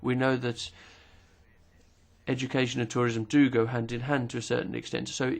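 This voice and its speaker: A man simultaneously interpreting speeches at a parliamentary committee hearing, formal and measured, pausing with occasional fillers. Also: mid-range voice, little pitch movement, gravelly.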